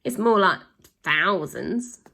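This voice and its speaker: A woman voicing a greedy, gloating ice cream van man who blusters and shouts. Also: medium pitch, lively intonation, slow, creaky, full character voice.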